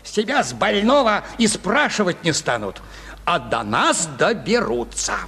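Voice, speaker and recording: A man's voice: high-pitched, sing-song, measured pace, pushed and bright, husky, full character voice. A man acting a cautious, grumbling petty official in a radio play, querulous and admonishing. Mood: worried, grumbling. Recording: reverberant hall-like studio.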